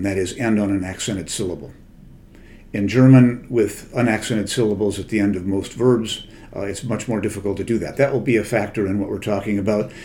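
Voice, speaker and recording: A man's voice: lowish voice, darkish tone. Older man, thoughtful and digressive. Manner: thoughtful, digressive, many hesitations and self-corrections. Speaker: literary translator and guest speaker. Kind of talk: conversational lecture.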